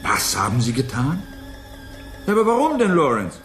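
An actor playing a doubtful, placating and faintly mocking scientist in a 1980s German radio play, with an expressive, questioning delivery. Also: male voice, medium pitch, normal speed, faint music behind. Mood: incredulous.

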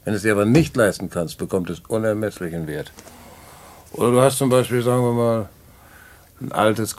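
Seasoned hustler, lowish voice, knowing, patronising, radio drama storyteller. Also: male voice, darkish tone. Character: worldly male ex-racketeer fondly recounting his schemes. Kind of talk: radio play narration in dialogue.

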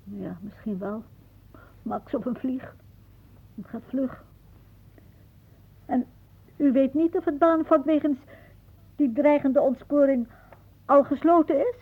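A woman's voice: high-pitched, takes it slowly, pauses often. An actress playing an anxious, indignant older lady with a prim, questioning manner in a radio play. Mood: uneasy. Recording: muffled, narrow old radio recording.

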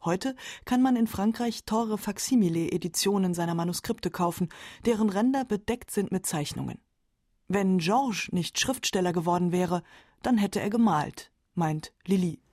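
A woman's voice: medium pitch, moderate pace, darkish tone, no echo, studio quality. A woman narrating a radio feature from a script. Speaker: documentary narrator.

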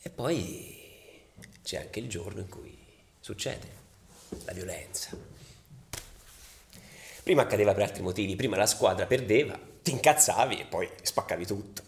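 An actor playing a passionate, embittered football ultra in a halting, colloquial confessional monologue for radio theatre. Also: male voice, on the low side, lively intonation, a little hiss or hum. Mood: grave, tense. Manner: halting, colloquial, dropping to near-whispers.

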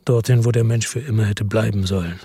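A man's voice: lowish voice, steady tone, fast, dark tone, slightly rough, no room sound, clean studio sound. A man narrating a radio drama in the first person, brooding and introspective.

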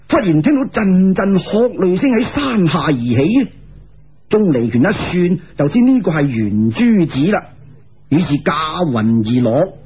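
A male storyteller with a Cantonese accent narrates folk tales in a colloquial, expressive way. His rather high voice is very rough and swings widely in pitch. The recording is dull and lo-fi. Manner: colloquial, expressive, with conversational particles.

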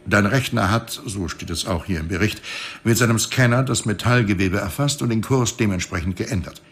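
A very low, creaky male voice for a glib reanimator in a future space colony. He explains things in a wry, flippant, chatty way, in the manner of a science fiction radio play. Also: moderate pace, fairly bright tone. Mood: wry, explaining. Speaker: actor in a radio drama.

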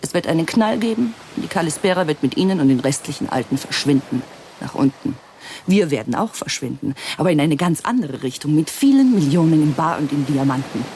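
A woman's voice: deep voice, expressive, a little fast, dark tone, slight background noise. An actress playing a cold, greedy female villain in a radio play, giving orders with sardonic, mock-polite menace. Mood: mocking, gloating.

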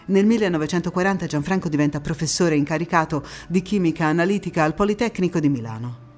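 An actress playing a passionate young Italian antifascist woman, recounting her life in a theatrical monologue with dramatic pauses and emphatic repetitions. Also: lowish voice, darkish tone, faint music behind, close to an everyday voice. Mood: measured recounting. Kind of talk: theatrical stage monologue, first-person storytelling.

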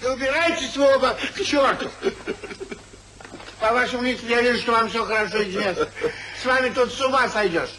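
An actor playing a testy, wounded old husband in a 1950s radio comedy of manners, grumbling with bitter theatrical sarcasm. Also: male voice, very high voice, a little fast, long pauses, pushed and bright, slight background noise, poor audio. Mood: irritated. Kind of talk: 1950s Soviet radio play acting.

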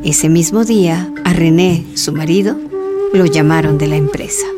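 A woman giving measured, scripted narration for a radio drama. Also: fairly low pitch, very animated, over music.

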